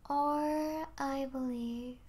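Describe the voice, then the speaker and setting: High, slow-speaking vampire princess, acted by a woman. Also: fairly even intonation. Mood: tender, confused. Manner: soft, lingering, breathy asides, regal and doting. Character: possessive yet tender vampire princess, mistress of a human pet. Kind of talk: ASMR roleplay, intimate scripted monologue.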